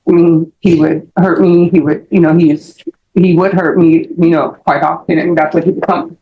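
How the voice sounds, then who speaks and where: A middle-aged American woman giving testimony as a defendant in court, rambling and halting as she repeats herself. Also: on the low side, fairly even intonation, lo-fi sound. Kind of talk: spoken testimony answering questions.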